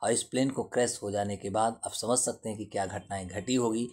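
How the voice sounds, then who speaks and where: A man's voice: lowish voice, fairly even intonation, fairly quick, a little mellow, breathy edge. A man giving an explanatory lesson in a didactic way, repeating and rephrasing his points. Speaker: teacher explaining current affairs.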